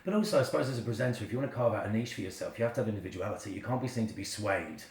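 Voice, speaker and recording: A woman's voice: very deep voice, animated, racing, a little mellow, reverberant. A woman interviewer in a casual chat who affirms and sums up her guest's points.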